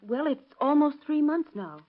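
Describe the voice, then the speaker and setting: On a dull vintage radio drama recording, a nervous, fairly high-voiced woman. She is halting and apologetic, politely hesitant in the 1930s acting manner. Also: animated. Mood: anxious, hesitant. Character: anxious, hesitant woman seeking her missing sister.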